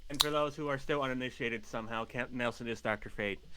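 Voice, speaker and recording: A man's voice: medium pitch, quick pace, bright, forceful tone, clean tone. A man co-hosting a fan review show in casual, conversational chat.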